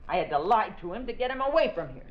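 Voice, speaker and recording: A sharp-tongued carnival boss who speaks curtly. His voice is high. The recording is dull, like old radio. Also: big pitch swings, rapid-fire. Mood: commanding. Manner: curt, commanding.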